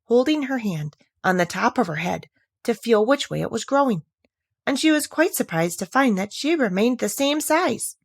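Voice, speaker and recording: A woman reading a children's classic aloud as a storybook narrator, with expressive, deliberate emphasis. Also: a little high, dry recording, clean studio sound. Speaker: audiobook narrator.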